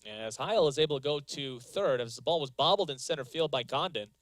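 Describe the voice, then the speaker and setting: A man calling live softball play-by-play as a sports announcer, moving between measured stat recaps and calls of the action. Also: on the high side, lively intonation, no room sound. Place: live broadcast from a softball stadium.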